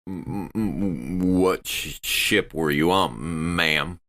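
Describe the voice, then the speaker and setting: Guy doing a deep voiced confused space crewman, slow, polite, stammers, tabletop game. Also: bright, forceful tone, exaggerated voice. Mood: hesitant, questioning. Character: confused, courteous spaceship crewman, growing frustrated. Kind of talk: tabletop role-play acting.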